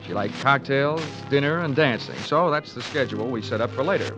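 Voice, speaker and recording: A man's voice: medium pitch, fairly quick, lightly acted, poor audio. Wry American radio detective, acted by a man, with music. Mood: wry narration. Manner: dry, sardonic. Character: wisecracking hard-boiled male insurance investigator. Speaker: lead and narrator. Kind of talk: 1950s radio detective narration and acting.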